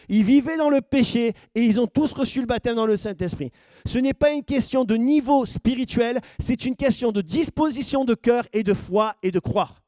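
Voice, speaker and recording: A man's voice: very high-pitched, darkish tone, dry recording. A man preaching as pastor, questioning his congregation and building to emphatic points. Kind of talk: sermon teaching.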